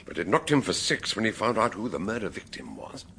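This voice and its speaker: An actor playing a shrewd gentleman investigator in a radio drama, deliberate, wry and probing in manner. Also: lowish voice, big pitch swings, vocal fry, slight background noise, exaggerated voice. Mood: emphatic. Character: shrewd, mannered gentleman sleuth questioning suspects.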